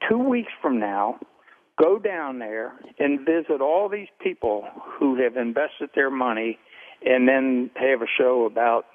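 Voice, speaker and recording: An American man phoning in to a radio show over a telephone line, giving his opinion in a halting, searching way. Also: medium pitch, expressive. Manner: halting, hesitant, searching for words. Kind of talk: unscripted phone-in opinion. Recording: tinny, band-limited phone line.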